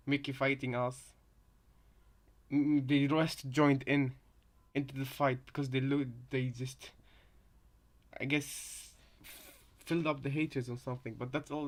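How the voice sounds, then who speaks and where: Rambling male reactor, casual commentary. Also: medium pitch. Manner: rambling, repeats and reworks phrases. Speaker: reaction video host.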